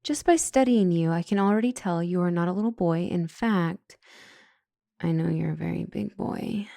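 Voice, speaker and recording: Woman acting flustered counselor, mellow and close. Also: on the low side, normal speed, creaky, no room sound. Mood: flirty, awkward. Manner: stammering, awkwardly self-correcting. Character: flustered female couples counselor, smitten with vampire patient. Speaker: doctor and counselor. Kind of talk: comedic audio roleplay acting.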